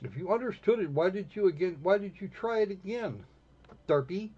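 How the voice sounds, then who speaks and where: A man hosting a livestream, giving casual, snarky commentary on a game with halting pauses. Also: high-pitched, animated, warm tone, breathy edge. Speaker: livestream host. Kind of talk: casual live commentary on a visual novel. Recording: dull lo-fi microphone sound.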